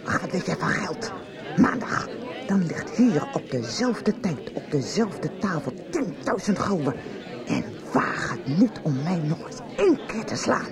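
Nasty mocking blackmailer ex, radio soap, really up and down voice, busy crowd behind. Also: female voice, fairly low pitch, sing-song, a little slow. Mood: menacing. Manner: taunting, cold, threatening. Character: menacing, mocking blackmailing jilted woman lover. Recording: busy public-place background. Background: crowd chatter.

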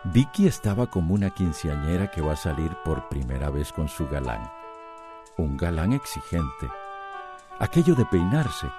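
Very deep male radio drama narrator.

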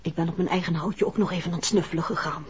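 A woman's voice: lowish voice, fairly even intonation, rapid-fire, a little hiss or hum, close to an everyday voice. A woman playing a detective's inquisitive, chatty wife in a 1960s Dutch radio play, with an informal, confiding manner. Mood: chatty, curious. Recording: muffled vintage 1960s radio recording.

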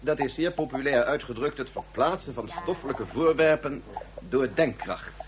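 A man's voice: medium pitch, expressive, moderate pace, lightly acted. A man playing a precise, pedantic male scientist in a science fiction radio drama. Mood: pedantic, formal. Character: male scientist Yukio, pedantic lecturer turning distraught father. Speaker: actor in a radio play. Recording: noisy radio play recording with hum.

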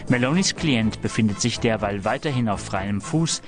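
A man's voice: fairly low pitch, expressive, normal speed. A man reading a scripted announcement and recap as the announcer of a radio serial. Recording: clear music under the voice.

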